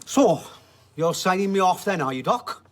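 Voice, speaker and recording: An actor playing an indignant, blunt working-class patient in a radio drama. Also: male voice, high voice, animated, moderate pace. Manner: blunt, plain colloquial speech. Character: indignant working-class male patient demanding a sick note.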